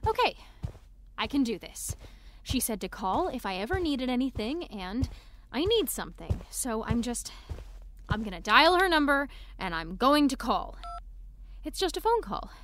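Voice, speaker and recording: A woman's voice: on the high side, sing-song, normal speed, fairly bright tone, slight background noise. A young woman playing an earnest, anxious, apologetic teenage girl in an audio drama, hesitant and rambling. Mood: nervous, psyching up. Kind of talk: naturalistic audio drama acting.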